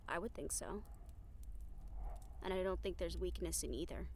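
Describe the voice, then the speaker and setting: A defiant young woman voiced by an actress with a lowish voice and a creaky edge. She is sharp-tongued and probing, with dry sardonic challenges. The audio drama has faint noise underneath. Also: normal speed, close to an everyday voice. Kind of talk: scripted audio roleplay acting.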